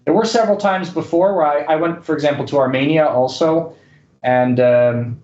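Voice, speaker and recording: A man's voice: on the high side, normal speed, a little mellow. A man speaking casually as an interview guest, with a hesitant delivery full of fillers. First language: English and Spanish. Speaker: guest, correspondent and news anchor. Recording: dull lo-fi roomy sound.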